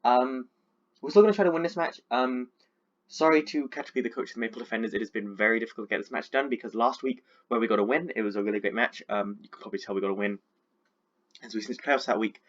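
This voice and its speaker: A man's voice: mid-range voice, fast talker, a bit bright, dull audio. A man with a sore throat from being sick, chatting casually and rambling with lots of fillers as he breaks down his team. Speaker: competitive Pokemon league coach.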